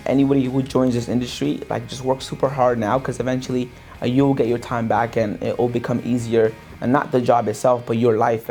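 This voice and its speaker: A young man from Pakistan, a sales business owner, giving a relaxed interview testimonial with many fillers and restarts. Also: medium pitch. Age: young adult. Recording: faint guitar music underneath.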